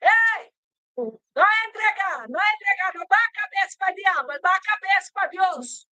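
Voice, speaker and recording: Shouting woman preacher, very high-pitched. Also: expressive, moderate pace, no room sound. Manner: exhorting, repetitive chanted phrases, frequent interjected calls; loud, shouting delivery. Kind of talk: fervent revival sermon and prayer.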